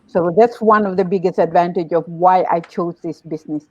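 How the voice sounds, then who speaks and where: Woman guest, steady explainer, muffled dry mic. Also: lowish voice, brisk, no echo. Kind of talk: casual chat. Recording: dull dry sound.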